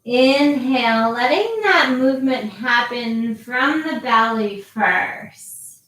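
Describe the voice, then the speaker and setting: Woman yoga teacher, high voice, very slow, calm cues, echoey room, distant mic. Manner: slow, calm cueing with long pauses. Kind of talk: guided yoga instruction.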